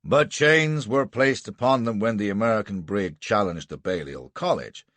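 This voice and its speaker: A man voicing a bullish male prosecuting lawyer in an audiobook, bawling and hectoring with emphatic, deliberate questions. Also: fairly low pitch, lively intonation, fairly bright tone, no room sound. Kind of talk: audiobook character acting.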